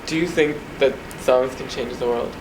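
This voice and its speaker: A young man from Bangladesh with an American accent, a student interviewing and talking about himself in an even, plain way. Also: mid-range voice, moderate pace, echoey room, noisy background. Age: young adult. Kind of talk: casual interview. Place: apartment.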